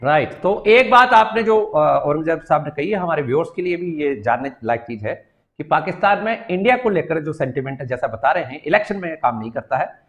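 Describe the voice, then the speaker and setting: A man's voice: on the high side, lively intonation, a little fast, a bit bright. A man with an Indian accent hosting and moderating a political discussion show. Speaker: host.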